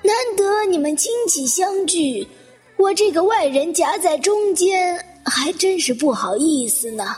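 Elderly widow played by a woman, courteous and self-effacing, high and unhurried, reverberant radio drama. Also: expressive, darkish tone. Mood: modest, self-effacing. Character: polite elderly widow, modest, gracious hotel guest. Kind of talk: Chinese radio drama acting. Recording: long reverb, music underneath.

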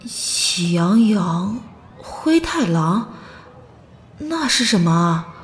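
A woman playing a gentle, easily flustered young woman who dotes on a child in an audio drama, soft and hesitant. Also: medium pitch, very animated, very slow, a little hiss or hum. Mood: absent-minded, gentle. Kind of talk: Chinese audio drama acting.

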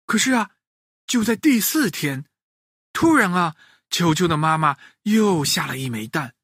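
A man narrating a children's story in an animated, expressive way. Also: a little high, a little slow, slightly rough, dry recording, clean studio sound.

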